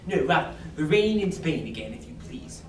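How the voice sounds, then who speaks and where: A woman's voice: low voice, sing-song, moderate pace, a little mellow. A woman playing a bossy, superior male professor in a stage musical, drilling commands in a clipped manner. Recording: echoey stage, mic far off, noise in the background.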